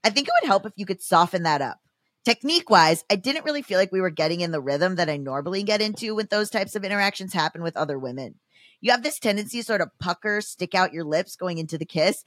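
A woman's voice: on the low side, lively intonation, quick pace, fairly bright tone, no room sound, studio quality. A woman reading aloud as a self-important man texting blunt dating feedback, in an earnest, condescending dramatic reading for a comedy podcast.

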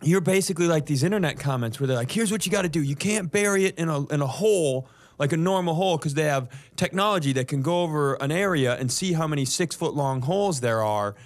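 A man's voice: on the high side, lively intonation, fast, few pauses. A man co-hosting a comedy podcast, bantering casually in a teasing, mock-prosecutorial way.

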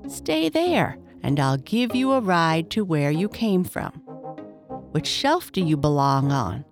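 A woman's voice: sits low, very animated, slow pace, faint music behind, full character voice. A woman voicing a big, kind, jolly male stuffed elephant from a children's storybook, hearty and reassuring. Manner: reassuring, jovial.

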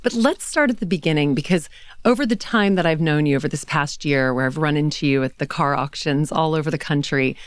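A woman with a very low, smooth voice hosts an interview with effusive enthusiasm. The sound is close and dry, with no room around it. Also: fairly quick, dry recording. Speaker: podcast host and auctioneer. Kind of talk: interview conversation. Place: studio.

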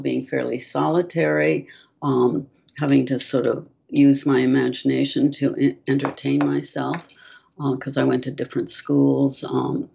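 Gravelly older woman on a lo-fi call. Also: a bass voice. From France. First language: French. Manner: soft-spoken, hesitant, many ums. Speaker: author, guest. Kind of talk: relaxed interview answers. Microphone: video call. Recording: lo-fi video call audio.

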